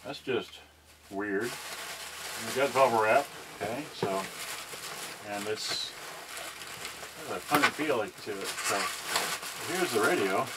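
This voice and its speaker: A man talks casually to the camera as he handles things, thinking aloud in loose, halting fragments. His voice sits at an ordinary middle pitch. The recording picks him up from a distance, with heavy noise and clicks around him. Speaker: vlogger unboxing and testing a vintage cassette radio. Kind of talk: unscripted casual narration while handling items.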